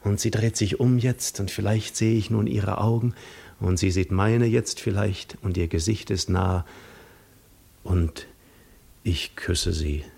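An actor in a 1950s German radio play monologue, playing a restless, yearning seafarer lost in reverie, with lyrical, dramatic delivery that moves between hushed and fervent. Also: male voice, on the low side, measured pace, gravelly, breathy edge, full character voice. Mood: rapt, then hushed, intimate.

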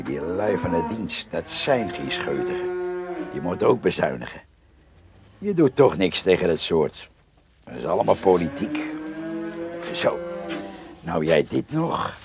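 A man playing a gruff, rustic farmhand in a radio drama, muttering and rambling to himself. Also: low voice, heavily acted.

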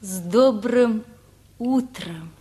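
An actress playing a gentle, tactful teenage daughter in a Soviet radio play. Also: female voice, a little high, extremely slow, husky, heavily acted. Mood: tender. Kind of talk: Soviet radio theatre acting.

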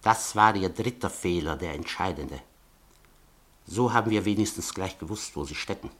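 A man's voice: low voice, moderate pace, a bit bright. An actor playing a sly, mocking male informant who blackmails with oily politeness in a radio play. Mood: threatening. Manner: oily, mocking politeness, tells threats as a fairy tale.

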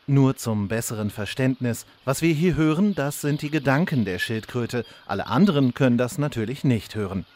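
A man's voice: medium pitch, lively intonation, normal speed, few pauses, darkish tone, natural voice. A man playing the storyteller, giving scripted narration for a comedic fantasy radio play. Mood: mock-solemn. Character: male storyteller narrator of mock fantasy soap.

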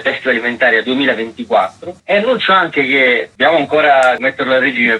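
A man's voice: medium pitch, sharp tone, breathy edge, rough recording. A man, a festival artistic director, giving explanatory answers in a radio interview.

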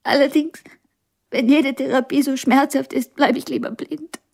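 An actress in a radio drama playing a blind young pianist, wounded yet ambitious and frank, with a halting, intense delivery. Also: female voice, high voice, steady tone, vocal fry, a little mellow. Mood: yearning, determined.

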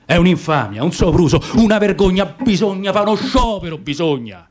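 A man's voice: high-pitched, sing-song, fairly quick. A man voicing a gloomy, proud caged circus lion in radio theatre, grumbling resentfully with a Roman accent. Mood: resentful, indignant. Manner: brooding, grumbling complaint.

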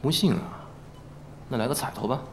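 A man's voice: medium pitch, a little fast, vocal fry, slight background noise, close to an everyday voice. A man playing a teasing, cocky, easygoing film actor in an audio drama, with a playful drawl. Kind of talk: Chinese audio drama acting.

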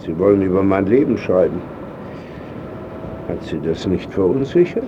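An actor playing a proud, frail old painter in a radio drama, terse and suspicious. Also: male voice, low voice, animated, normal speed, highs cut off, background noise. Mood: indignant. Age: older. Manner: imperious, challenging, terse.

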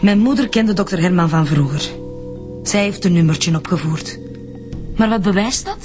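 A woman's voice: on the low side, moderate pace, darkish tone, soft background music, lightly acted. An actress playing a defensive, defiant woman murder suspect in a radio drama, curt and indignant, with a Flemish accent. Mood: guarded, defensive.

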